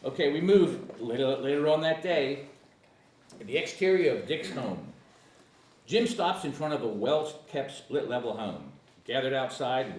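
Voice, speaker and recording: A man reading screenplay action lines at a comedic table read, casual, stumbling over words and chuckling. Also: medium pitch. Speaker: screenplay narrator and reader. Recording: echoey room, far from mic, faint noise.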